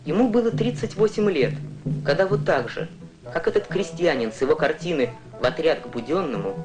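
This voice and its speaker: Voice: mid-range voice, animated, brisk, rough recording. A narrator reading a scripted educational documentary in a storytelling way for young viewers. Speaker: newsreel narrator. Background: prominent music.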